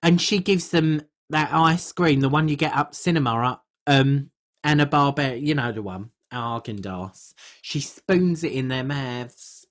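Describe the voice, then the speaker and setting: A podcast co-host with a medium-pitched voice talks in a casual, comic style. The delivery is chatty and rambling, with colloquial grammar that keeps it informal. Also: measured pace.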